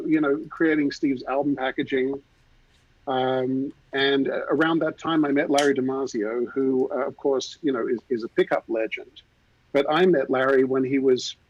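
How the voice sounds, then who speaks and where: Man with a softened Australian accent. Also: mid-range voice, dry recording, highs cut off. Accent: Australian, softened by years in the US. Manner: relaxed storytelling with many fillers. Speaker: guest, art designer. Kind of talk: casual video call chat. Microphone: video call.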